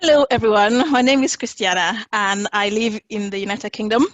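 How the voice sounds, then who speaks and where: A woman's voice: medium pitch, fairly quick, dry recording, poor audio. A woman research chemical engineer introducing herself on an online panel in an upbeat, fluent way.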